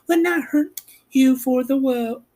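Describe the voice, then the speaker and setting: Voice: high voice, slow pace, warm tone, pitched above the performer's own voice. A man voicing a brave, polite and earnest young farm girl in a storybook reading. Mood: reassuring.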